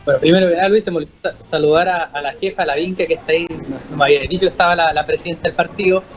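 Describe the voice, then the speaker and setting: Invitado de panel con acento chileno, tono alto, habla medida, sonido opaco. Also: male voice, on the high side, steady tone. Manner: measured, argumentative, with frequent restarts. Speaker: panel guest. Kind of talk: political panel discussion. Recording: slightly muffled audio with faint music.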